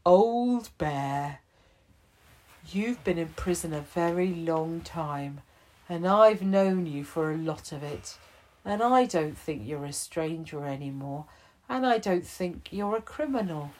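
Woman playing a kind prison chief, patient, reassuring, lively, slow. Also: mid-range voice, voice pushed up. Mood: gentle. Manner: gentle, reassuring.

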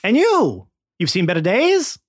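A man's voice: sits high, wildly swooping pitch, a little slow, no room sound, studio quality, pitched above the performer's own voice, heavily acted. A man voicing a showy, pushy salesman hawking an elixir with theatrical, wheedling patter in a tabletop game. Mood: hailing, jovial.

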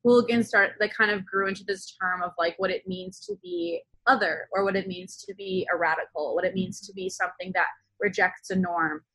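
A young adult woman being interviewed, speaking casually and analytically, often halting and circling back to rephrase. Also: mid-range voice, gravelly, a bit bright, slightly rough, no echo, poor audio. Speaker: interview guest, zine co-founder.

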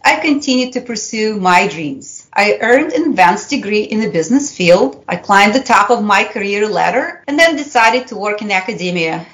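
A woman in her fifties, a university lecturer whose first language is Russian, speaking with a Russian accent and telling a prepared personal story in a measured, earnest way. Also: mid-range voice, fairly bright tone, low-quality recording. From Soviet Union.

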